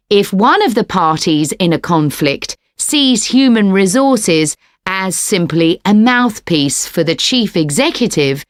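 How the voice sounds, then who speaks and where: A woman delivering a scripted academic lecture in a measured, articulate way. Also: medium pitch, dry recording, clean studio sound. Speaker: lecturer in a listening test recording.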